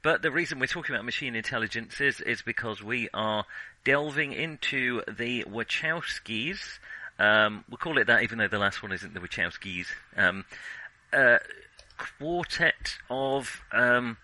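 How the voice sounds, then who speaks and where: Male film podcaster, very bright crisp-edged tone, dry sound, conversational and halting, thinks aloud. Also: mid-range voice, no room sound, rough recording. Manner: hesitant, self-correcting, searching for words. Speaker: podcast co-host. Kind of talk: casual film discussion.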